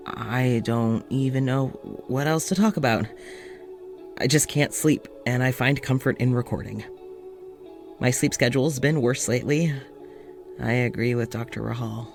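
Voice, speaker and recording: A man voicing a lonely, sleepless man confiding in his audio journal, halting and self-doubting, with frequent stammers and sighs. His voice sits at an ordinary middle pitch, and faint music plays underneath in this audio drama. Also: vocal fry.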